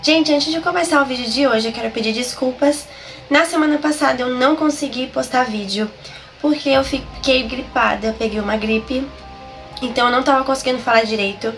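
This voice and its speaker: Brazilian woman narrating a creepy tale, high voice, kinda nasal and hoarse from a cold, soft music under it. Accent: Brazilian Portuguese. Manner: slow, dramatic storyteller's delivery. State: nasal and slightly hoarse from a flu. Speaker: horror story channel host and narrator. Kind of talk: slow scripted horror narration.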